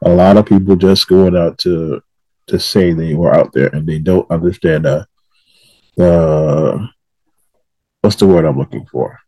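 A man with a deep, rough-edged voice takes part in a casual political discussion. He speaks slowly and haltingly, searching for words as he makes his point as a panelist. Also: steady tone, mellow, no echo, low-quality recording.

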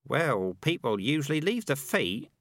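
A man's voice: medium pitch, very animated, measured pace, fairly bright tone, dry recording, studio quality, pitched above the performer's own voice. A man voicing a blunt, skeptical rat in an audiobook, with a grumbling, colloquial manner. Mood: matter-of-fact.

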